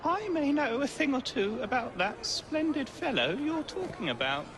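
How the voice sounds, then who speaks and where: Policeman posing as old lady, fussy, affected, lively pitch swings, video game, clear background noise. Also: moderate pace, full character voice. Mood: coy. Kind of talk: video game cutscene acting.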